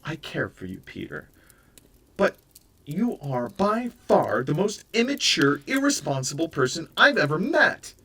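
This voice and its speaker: A stern, exasperated young woman laying down the law, firm and reproachful, as a man narrator plays her. She speaks slowly, and the voice sits back from the microphone. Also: fairly high pitch, big pitch swings, gravelly, sharp tone, slightly rough, voice pushed up, full character voice. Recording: voice a bit far from the mic.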